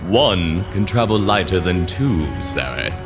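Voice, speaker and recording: A man's voice: sits low, animated, measured pace, over music. A man acting a scheming older wizard mentor in a video game, speaking with silky, commanding drama. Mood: cryptic.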